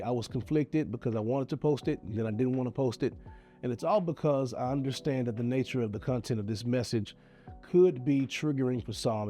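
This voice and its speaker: A man with a drawl and a stuffy, nasal voice from a sinus infection talks earnestly and steadily, pleading with his listeners. His tone is mellow and dark at an ordinary pitch. Faint music plays softly underneath. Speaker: video creator giving advice. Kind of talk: earnest direct-to-camera message.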